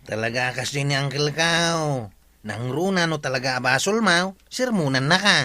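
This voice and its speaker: A man's voice: on the high side, lively intonation, normal speed, sharp tone, dry recording. A man with an Ilocano accent playing a plain-spoken, concerned village neighbour in a radio comedy drama. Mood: friendly, curious.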